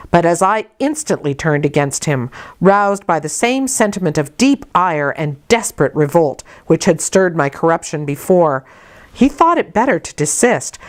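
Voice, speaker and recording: Low-pitched woman reading a classic novel. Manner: measured, careful reading. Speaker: audiobook narrator. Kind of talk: scripted literary narration.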